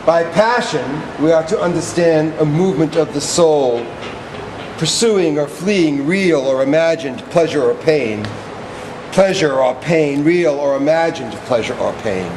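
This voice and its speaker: A man playing a slow, pompous orator in echo. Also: a little high, lightly acted. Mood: pompous, declaiming. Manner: declamatory, pedantic, deliberate. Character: Words, servile pompous male orator reciting set speeches. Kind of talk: theatrical stage monologue. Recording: echoey stage play, music behind.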